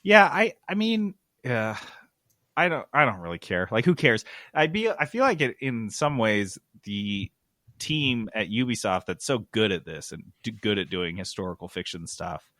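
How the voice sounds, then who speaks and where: Male podcast host, bright and punchy, dryly recorded, for casual, excitable gamer talk with plenty of swearing. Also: lowish voice, normal speed, dry recording, studio quality. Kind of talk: casual, animated gaming chat.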